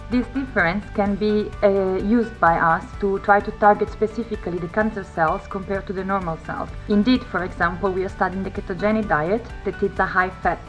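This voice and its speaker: A young woman talks about her research in a halting, careful way, pausing on fillers and restarting phrases. Her pitch sits in a middling range, and faint music plays underneath. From northeast Italy. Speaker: PhD researcher. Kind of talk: video testimonial.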